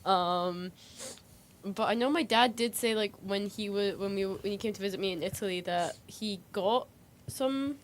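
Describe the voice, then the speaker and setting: A woman's voice: mid-range voice, moderate pace. A woman in casual chat as a show panelist, telling anecdotes in a hesitant way. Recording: clear background noise.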